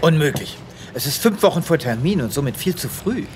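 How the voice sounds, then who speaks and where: A man's voice: on the high side, expressive, brisk, noisy background, natural voice. An actor playing a weary, exasperated male space veterinarian in an audio drama, dramatic and given to muttering. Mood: firm. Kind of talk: German audio drama acting.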